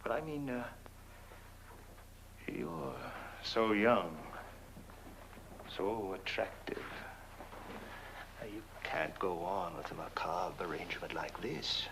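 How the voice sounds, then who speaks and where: An actor playing a suave, scheming lawyer in horror-comedy film dialogue, with theatrical emphasis and hesitant murmurs. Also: male voice, deep voice, slow, vocal fry, pauses often, noisy background, heavily acted. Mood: smooth, insinuating. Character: suave, scheming male lawyer wooing a young widow.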